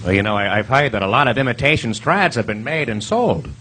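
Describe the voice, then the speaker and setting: Actor as a wisecracking, swooping comic quiz host. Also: male voice, mid-range voice, expressive, sharp tone. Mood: exasperated, needling. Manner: wry, needling, quick with asides. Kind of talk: comic stage recreation of 1950s radio quiz. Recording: live stage recording, noisy audience.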